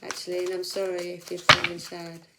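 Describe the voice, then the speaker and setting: A woman's voice: on the low side, completely flat delivery, fairly quick, heavy background noise. A woman giving a casual weekly tarot reading, chatty and reassuring, thinking aloud as she goes, with a slight sore throat. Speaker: tarot reader.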